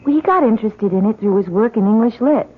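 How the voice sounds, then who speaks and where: Actress as high-voiced sensible college girl, tinny radio. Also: lively intonation, fast talker, lightly acted. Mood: reassuring. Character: sensible, reassuring young female college friend. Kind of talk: old-time radio drama acting. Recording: tinny, faintly noisy old radio recording.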